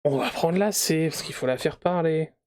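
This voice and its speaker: A man's voice: on the high side, a little fast, darkish tone. A man streaming a game live, making offhand, mocking asides as he picks choices, on a close streaming mic. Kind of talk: live gameplay commentary.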